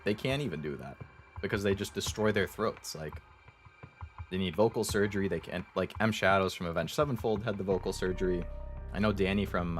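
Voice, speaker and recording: Deep-voiced young man rambling casually. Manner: rambling, relaxed, many fillers. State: sore throat. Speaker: streamer. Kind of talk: casual chat with viewers.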